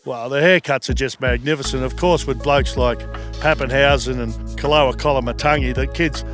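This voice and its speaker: A man's voice: mid-range voice, fairly even intonation, normal speed. A middle-aged Australian man hosting casual sideline interviews with jovial, matey banter into a handheld microphone. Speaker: roving reporter and host. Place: outdoor sports field. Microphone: handheld reporter microphone. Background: music playing.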